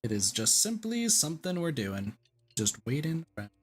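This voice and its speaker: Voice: mid-range voice, very animated, moderate pace, husky. Casual game streamer with easy, repetitive patter. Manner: informal, repeats own phrases.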